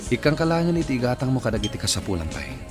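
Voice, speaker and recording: A man's voice: mid-range voice, sing-song, racing, music in the background, close to an everyday voice. A man playing an indulgent, easily flustered cheating husband in an Ilocano radio comedy drama, with an Ilocano accent.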